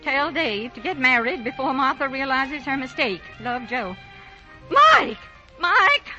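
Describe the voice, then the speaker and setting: A woman's voice: sits high, sing-song, measured pace, bright, forceful tone, full character voice. An actress playing a strong, loving, easily exasperated older mother in a 1940s radio drama, plain-spoken and emphatic. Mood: happy, excited. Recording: muffled 1940 radio recording, music behind.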